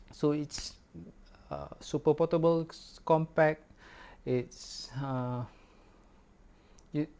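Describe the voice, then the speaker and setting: A man whose first language is Malay, giving a casual, unhurried product review in English with many pauses and fillers. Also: medium pitch, darkish tone. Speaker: record store owner reviewing gear.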